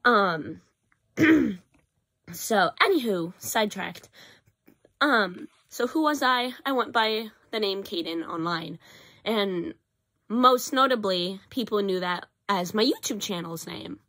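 A teenage girl, hoarse from a cough, talking casually and rambling into a webcam in her small bedroom. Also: medium pitch, takes it slowly. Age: teens. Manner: rambling, self-correcting, animated at times. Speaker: vlogger. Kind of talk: casual confessional vlog. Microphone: built-in webcam or phone mic, close.

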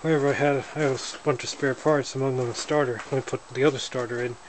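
A man casually showing off his snowmobile in a garage, talking in a relaxed, matter-of-fact way while filming with a handheld camera. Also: mid-range voice, fast. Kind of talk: casual walkaround narration. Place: indoors, a garage or shed with windows. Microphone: handheld camera held by the speaker. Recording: noisy handheld camera audio.

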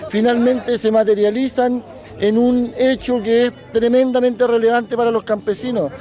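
A man's voice: very high-pitched, little pitch movement, moderate pace, dark tone, soft background music, a faint crowd. A Chilean man speaking enthusiastically in an outdoor interview. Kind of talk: on-camera interview. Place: outdoor fair. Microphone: handheld news mics.

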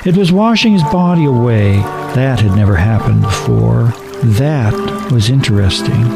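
A man narrating a story dramatically for a radio play, in a deliberate, theatrical manner. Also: medium pitch. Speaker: narrator and radio show host.

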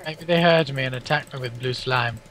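A man's voice: medium pitch, brisk, a bit bright, slight background noise. A man with an English accent chatting playfully while gaming, teasing his friend with sudden outbursts. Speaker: co-host playing a game. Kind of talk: casual gaming chat.

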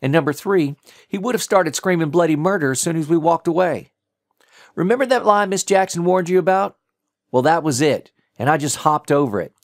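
A man's voice: a little high, lively intonation, a little fast, no echo, clean studio sound, voice pushed up. A man voicing a ruthless, wry male vigilante in an audiobook thriller, with sardonic, clipped reasoning. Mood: cold, sardonic.

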